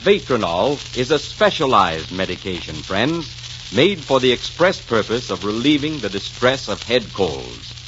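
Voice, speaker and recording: A muffled, crackling old broadcast carries a man announcing a commercial in 1940s style. His delivery is polished and emphatic, with very lively rises and falls meant to persuade. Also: mid-range voice, a little slow. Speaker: radio announcer. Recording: noisy, muffled 1940s radio recording.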